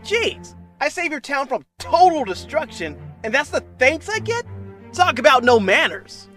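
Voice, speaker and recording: A cocky young male hedgehog hero acted by a woman with a high, very animated voice. He talks casually and breezily in an audio drama, with music behind him. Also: a little slow, full character voice. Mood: indignant, sarcastic. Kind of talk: fan audio drama acting.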